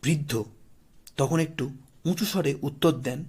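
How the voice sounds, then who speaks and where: A man narrates a scripted horror audio story. His voice is fairly high for a man and husky, in a storyteller's delivery. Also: unhurried, a little mellow.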